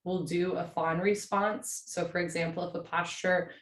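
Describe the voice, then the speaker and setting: Casting: hoarse female yoga teacher, low voice, gentle and slow cues, roomy echo. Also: fairly even intonation. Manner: slow, gentle, soothing instruction. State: hoarse from a cold. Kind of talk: guided yin yoga class. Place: home.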